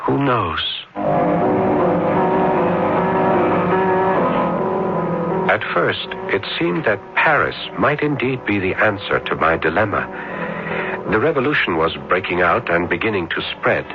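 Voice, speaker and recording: An actor playing an earnest, brooding young male student in an old radio drama, with formal, hesitant, excitable speech. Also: fairly low pitch, normal speed, muffled recording, over loud music. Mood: brooding, intense. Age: young adult.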